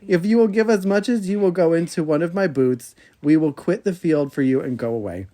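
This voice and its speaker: A man's voice: on the high side, brisk, dark tone, lightly acted. Man reading as a bold, scarred old soldier. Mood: wily, bargaining. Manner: bold, plain-spoken, formal storybook speech. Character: fearless scarred older soldier, cocky and wily.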